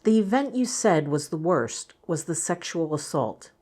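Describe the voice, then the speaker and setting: A woman playing a clinician, asking scripted interview questions in a measured, neutral way. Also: on the low side, sing-song, darkish tone. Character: measured female clinician giving a structured interview.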